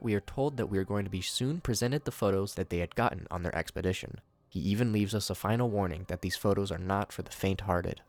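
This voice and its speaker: A man hosting a video, reading scripted narration mixed with his own commentary. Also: lowish voice. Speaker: video host reviewing horror shorts.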